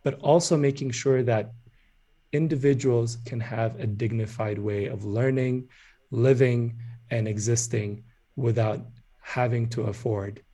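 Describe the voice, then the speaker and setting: A man from Ethiopia talks as a guest in a podcast interview. His voice is soft-edged and warm in tone, at an average pitch, and he explains things conversationally as a founder would. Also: steady tone, measured pace.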